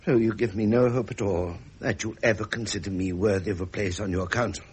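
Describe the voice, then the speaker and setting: An actor playing a wry middle-aged prince with an upper-class British accent, in period radio drama style. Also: male voice, fairly low pitch, fairly even intonation, fairly quick, noisy background, close to an everyday voice. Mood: aggrieved. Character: frustrated, genial middle-aged prince and uncle. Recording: muffled vintage radio recording.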